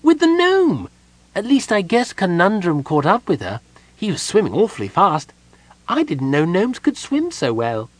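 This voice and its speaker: A chattering little kender with a husky edge and a high, bouncing voice, as a man puts him on. He sounds childlike and endlessly curious, tumbling over his words. The delivery swoops all over the place, lively and loud. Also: moderate pace, dry recording, heavily acted. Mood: excited. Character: small excitable male kender, childlike and curious.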